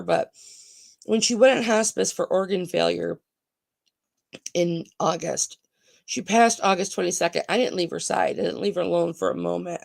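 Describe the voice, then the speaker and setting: Gravelly groggy-voiced woman auction host. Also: mid-range voice. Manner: chatty, calls viewers honey. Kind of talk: live-stream sales chat.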